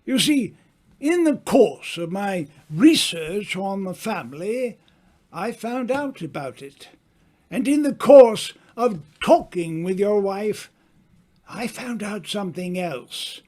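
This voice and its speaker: A man acting a slow, grandiloquent older writer. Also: high voice, animated, a little mellow, exaggerated voice. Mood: knowing, persuasive. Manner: grandiloquent, deliberate, dramatic pauses. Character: worldly, self-assured older male writer, theatrical and teasing. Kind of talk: old-time radio mystery acting.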